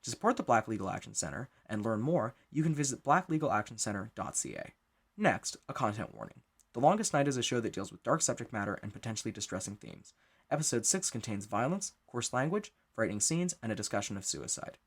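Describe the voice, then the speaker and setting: A man with a husky, mid-pitched voice reads a formal scripted announcement. He moves along briskly, with lively rises and falls in his intonation. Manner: formal, clearly scripted delivery.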